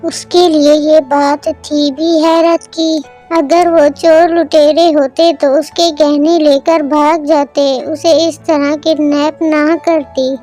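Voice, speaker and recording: A woman's voice: sits high, little pitch movement, takes it slowly, mellow. A woman narrating a cartoon story in a scripted storybook style, with music behind her.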